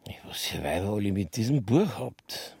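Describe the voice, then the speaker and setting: An actor playing a weary, laconic police detective with a gravelly, very husky voice and a Bavarian accent, grumbling in a radio drama. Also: male voice, lowish voice, sing-song, moderate pace, a little mellow, no echo, heavily acted. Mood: dry, baffled. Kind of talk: naturalistic radio drama dialogue.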